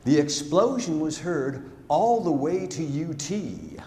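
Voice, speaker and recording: A man recites rhyming narrative verse on stage as a storyteller, dramatic and deliberate, swelling and then hushing his phrases. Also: on the high side, very animated. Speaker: storyteller performing a narrative poem. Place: large theatre, live stage performance. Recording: live theatre stage, long reverb, noisy.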